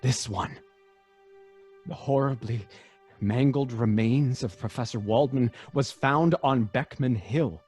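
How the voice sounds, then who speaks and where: A man acts a loyal friend in an old-time radio drama. His voice is rough and husky at an average pitch, earnest and hesitant, over soft background music. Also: slow pace. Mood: earnest, probing. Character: loyal, concerned male best friend, gently probing.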